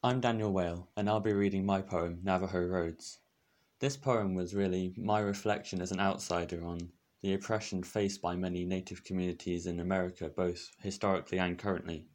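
A man's voice: low-pitched, fairly even intonation, fairly quick, a little mellow. A young man introducing and reading his own poem. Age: young adult. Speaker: poet.

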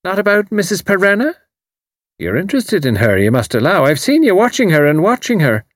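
A man's voice: high voice, wildly swooping pitch, fairly quick, dry recording, studio quality, pitched above the performer's own voice, full character voice. A man voicing a genial but overbearing older Irish woman in an audiobook, blunt, emphatic and insinuating. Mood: probing. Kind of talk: audiobook character voice.

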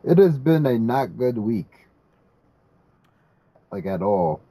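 A man's voice: mid-range voice, big pitch swings, takes it slowly, dark tone, breathy edge, no room sound. A man co-hosting a casual podcast, talking hesitantly with many restarts and repeated words. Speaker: podcast co-host.

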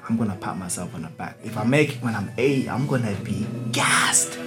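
A man's voice: fairly low pitch, lively intonation, brisk, a bit bright, soft background music. A young man, talking candidly in a casual podcast chat, swearing and using street slang.

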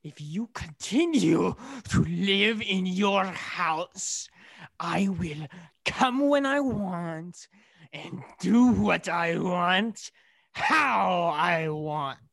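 An actor playing a cruel, menacing soldier in a radio play, sneering, taunting and commanding. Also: male voice, high-pitched, lively intonation, drawn-out words, gravelly, fairly bright tone, no room sound, studio quality, heavily acted. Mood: sneering, threatening.

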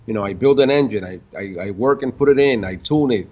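A man in his fifties, from the Dominican Republic and raised in Puerto Rico, tells his story slowly and haltingly, restarting words as he goes. His voice is mid-pitched. The recording sounds muffled and lo-fi. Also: darkish tone. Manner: halting, many restarts, laughs between stories. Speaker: guest, car builder and tuner. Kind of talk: casual interview storytelling. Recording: dull lo-fi sound.

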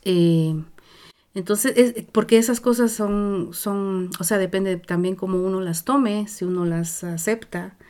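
An older Guatemalan woman with a Guatemalan Spanish accent, telling her life story as an interview guest, slowly and with many hesitations and restarts. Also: mid-range voice, dark tone, clear, resonant tone. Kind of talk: reflective personal storytelling.

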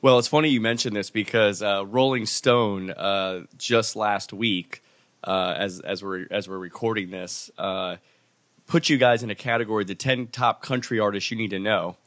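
A man's voice: fairly low pitch, fairly even intonation, normal speed, fairly bright tone, no echo. A man hosting a podcast, chatting casually and hesitantly with many fillers. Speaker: podcast host. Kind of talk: casual interview chat.

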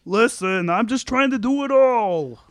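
A man's voice: extremely high pitch, lively intonation, measured pace, dry recording, clean studio sound. A man playing a petulant, self-justifying husband in an amateur sitcom table read. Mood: petulant, flippant.

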